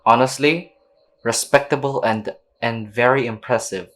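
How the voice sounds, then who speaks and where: A woman's voice: rumbling low, measured pace, heavy background noise. A woman giving casual gameplay reaction commentary, blunt and slangy, as a gaming video creator.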